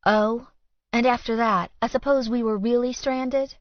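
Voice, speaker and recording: Woman acting out a hurt, furious young woman, higher-pitched. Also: steady tone, measured pace, no echo, lo-fi sound, natural voice. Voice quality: wobbly, quavering. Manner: emotional, accusing, crying out. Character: hurt, angry young woman confronting her lying lover.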